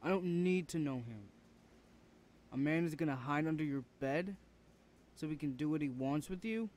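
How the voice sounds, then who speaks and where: A man's voice: a little high, expressive, moderate pace, a little mellow, natural voice. A man playing a rude, protective older brother in an ASMR roleplay, confrontational and demanding, swearing freely. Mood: suspicious, angry.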